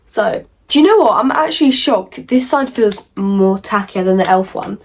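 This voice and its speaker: A young woman, croaky from a cold, chatting casually through a makeup tutorial with lots of "like" and "um". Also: medium pitch, animated, normal speed, slight background noise. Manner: chatty, rambling, many fillers like "like" and "um". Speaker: beauty vlogger. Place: at home in front of a mirror.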